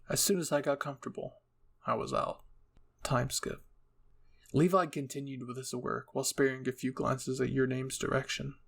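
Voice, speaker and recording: A man narrates a scripted story in a dramatic reading style. His voice sits at a middle pitch with a noticeable creak. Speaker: narrator, fan fiction reader.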